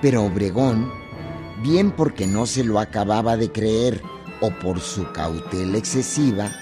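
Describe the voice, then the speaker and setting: A man's voice: on the low side, animated, unhurried. A man with a Mexican accent giving scripted historical narration as a radio narrator. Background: music.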